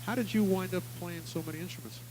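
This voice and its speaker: Rambling New radio host, slightly higher voice. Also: male voice, fast, darkish tone, poor audio. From Long Island, New York. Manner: friendly, rambling, with restarts. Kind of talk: casual interview with a live band. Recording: light hum in a room with rain on the roof.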